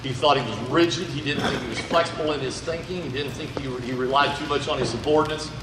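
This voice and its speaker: A man's voice: a little high, quick pace, over music. A middle-aged man from Indiana, a museum volunteer giving a chatty, folksy history talk to an audience. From Indiana, USA. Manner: folksy, chatty, checks in with "okay?". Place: large indoor museum hall. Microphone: handheld mic held loosely. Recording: echoey hall recorded from far off.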